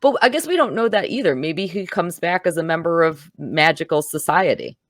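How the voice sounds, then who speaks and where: Enthusiastic low-voiced woman chatting about film. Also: animated, brisk, dry recording, studio quality. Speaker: host of a film review show. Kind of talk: casual film discussion.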